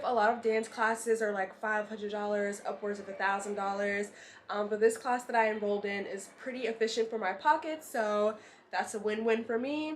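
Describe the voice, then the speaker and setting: A woman's voice: on the high side, slight background noise. A young woman in her twenties from North Carolina, chatting casually to her camera in an upbeat, filler-heavy way while congested and a little nasal from a cold. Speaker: model and vlogger. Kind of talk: casual vlog chat to camera. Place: her apartment and city streets. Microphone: handheld compact camera.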